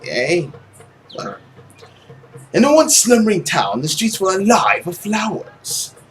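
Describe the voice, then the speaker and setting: Animated young man reading a story. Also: high voice, unhurried, slight background noise. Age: young adult. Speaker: let's play host reading a visual novel aloud. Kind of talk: expressive story narration with casual commentary.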